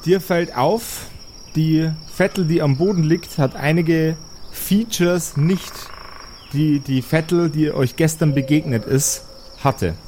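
A man's voice: a little high, takes it slowly, dark tone, a little hiss or hum. A man acting as game master, narrating an improvised tabletop role-playing game with dramatic storytelling between casual rules talk.

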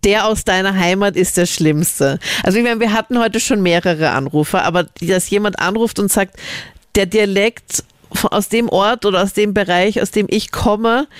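A woman's voice: mid-range voice, moderate pace, smooth, no echo, studio quality. A woman from Linz, Austria, co-hosting a radio call-in show and chatting animatedly. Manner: chatty, animated storytelling with laughs. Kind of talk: casual radio chat. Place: radio studio. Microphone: studio microphone.

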